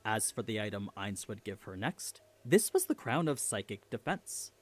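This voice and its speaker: A man's voice: on the low side, big pitch swings, normal speed, soft background music. A man reading scripted narration for an explainer video.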